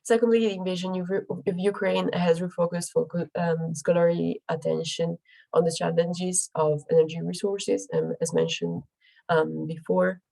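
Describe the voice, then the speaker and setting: A woman's voice: lowish voice, moderate pace, vocal fry, mellow, low-quality recording. A young woman presenting her research, her voice affected by a cold, speaking carefully with frequent ums and restarts. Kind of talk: academic presentation.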